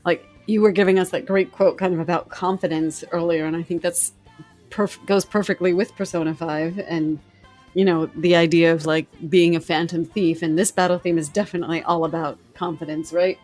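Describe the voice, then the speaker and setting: A woman chatting on a podcast panel about game music, upbeat and quick to agree. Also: lowish voice, steady tone, a little mellow.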